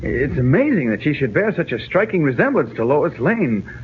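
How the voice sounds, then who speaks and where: An actor playing a quick-thinking, take-charge American reporter in a 1940s radio serial, speaking urgently and decisively. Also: male voice, fairly high pitch, sing-song. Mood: purposeful. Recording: tinny, hissy 1940s radio recording.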